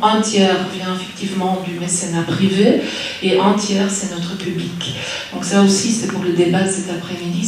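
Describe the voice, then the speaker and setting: A woman who speaks French as a second language answers at a panel, pausing and restarting as she searches for words. She sounds far from the microphone, in a very echoey hall. A faint hiss sits under her voice. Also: on the low side, a little mellow. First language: Dutch or another language, not French. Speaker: exhibitions director at a federal arts institution. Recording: distant mic in a reverberant hall with faint noise.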